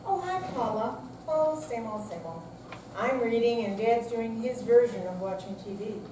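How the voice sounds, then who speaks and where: A woman's voice: on the high side, animated, normal speed, lightly acted. This is an older woman reading the role of an elderly mother, heard from a distance in a noisy, echoing hall. She is flustered and plainly honest, performing in a simple stage reading. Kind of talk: staged readers theater reading.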